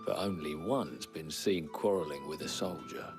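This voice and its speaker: An actor playing a gruff, wry stable hand in a video game, plain-spoken and folksy. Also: male voice, very deep voice, moderate pace, over music. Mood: wry, cautioning. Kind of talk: video game cutscene acting.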